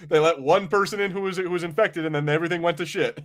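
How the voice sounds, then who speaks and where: A man's voice: a little high, fairly even intonation, fast talker. A man chatting casually as a podcast co-host, slangy and swearing freely. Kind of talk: casual chat.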